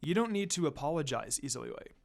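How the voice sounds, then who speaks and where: A man voicing a great dog demon lord, calm and kind, speaking softly in a story reading. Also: on the high side, fairly quick, vocal fry. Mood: kind, admiring. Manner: kind, soft, smiling.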